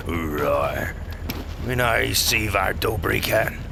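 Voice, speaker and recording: An actor playing a cynical, grizzled older smuggler in an audio drama, sardonic and fatalistic, with a gravelly voice. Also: male voice, fairly low pitch, full character voice. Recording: loud ambient noise behind.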